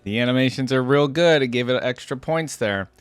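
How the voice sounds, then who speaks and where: A man's voice: medium pitch, lively intonation, fairly quick, a little hiss or hum. A man giving a casual, informal live reaction commentary, thinking aloud with frequent exclamations. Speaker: reaction video host. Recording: music playing behind the voice. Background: game music and sound effects.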